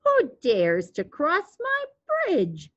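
A woman voicing a mean, greedy old male troll in a storybook tale, growling out slow threats. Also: high voice, wildly swooping pitch, fairly bright tone, no echo, highs cut off, voice pushed up, heavily acted. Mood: menacing.

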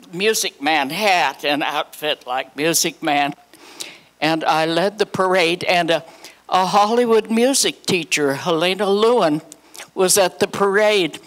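A woman in her eighties or older reminiscing to a live audience on a handheld microphone, chatty and anecdotal, acting out quoted dialogue. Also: on the low side. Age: 80s or older. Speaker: guest speaker, former child actress. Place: hotel meeting room, indoors.

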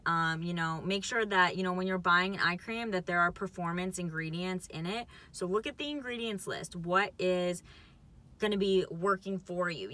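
A woman's voice: fairly low pitch, bright, forceful tone. A young woman in her twenties, chatting casually and giving upbeat advice from a parked car. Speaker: co-host. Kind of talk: casual chat. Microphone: phone camera on dashboard.

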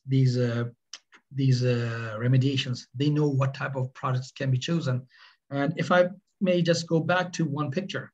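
A middle-aged man with a Swedish accent, presenting on a webinar in earnest, halting speech. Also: medium pitch, darkish tone, slightly rough, highs cut off. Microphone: webcam on video call.

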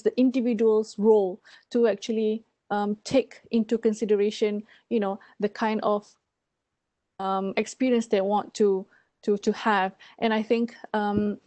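A woman's voice: medium pitch, warm tone, no room sound. A woman in her thirties, from Malaysia, presenting research on a video call from her home study and explaining with many fillers. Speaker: seminar presenter. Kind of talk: academic talk on a video call. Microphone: earphone mic on a video call.

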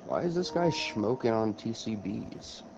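A man's voice: fairly low pitch, lively intonation, unhurried, creaky, music in the background, low-quality recording. A man co-hosting a racing game live stream, trading crude jokes in a laid-back way. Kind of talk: crude joking commentary over racing game.

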